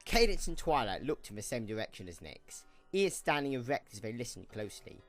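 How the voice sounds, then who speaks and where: Lively male storyteller, scripted narration. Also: medium pitch, fairly quick, faint music behind.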